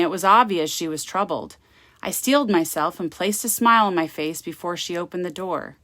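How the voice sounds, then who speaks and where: Lowish-voiced woman narrating gothic fiction. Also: no room sound, clean studio sound. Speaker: author reading aloud. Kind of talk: gothic romance storybook narration.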